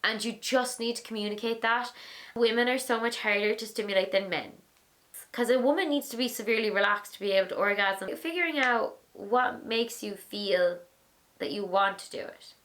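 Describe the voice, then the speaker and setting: A young Irish woman in her twenties, hosting a vlog and answering viewer questions in a frank, animated, chatty way. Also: a little high, moderate pace. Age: 20s. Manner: animated, acts out little voices, frank and chatty. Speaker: vlogger, host of an advice series.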